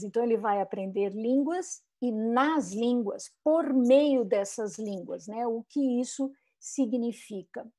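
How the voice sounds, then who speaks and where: Brief: woman educator lecturing, slightly high voice, mellow tone, thoughtful rhetorical questions. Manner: reflective, asks rhetorical questions; frequent "né?" tags and restarts. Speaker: bilingual education speaker. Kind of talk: online lecture talk.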